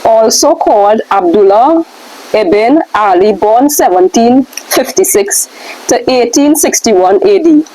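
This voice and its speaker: A woman reading aloud from a book as one of the readers, with a somewhat halting delivery. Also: on the high side, very animated, mellow, poor audio. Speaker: reader in a question-and-answer scroll reading.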